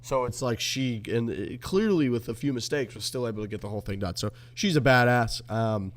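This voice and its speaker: Man, podcast co-host, casual golf chat, swears freely, average pitch. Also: a little fast. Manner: loose, swears freely, banters.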